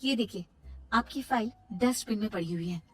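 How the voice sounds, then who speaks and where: A woman's voice: mid-range voice, moderate pace, breathy edge, soft background music, natural voice. A woman playing a wronged young wife, earnest and protesting, in a cartoon moral story. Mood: accusing.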